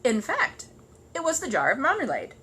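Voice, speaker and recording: Female storybook reader, animated narration, bright voice, faint noise in the recording. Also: high-pitched, moderate pace.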